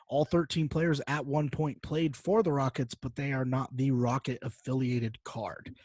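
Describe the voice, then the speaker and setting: A man co-hosting a podcast, telling gaming stories in an animated, casual, sweary way. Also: medium pitch, dry recording. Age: adult, parent of a young child. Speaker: podcast co-host. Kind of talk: casual chat, long gaming anecdote.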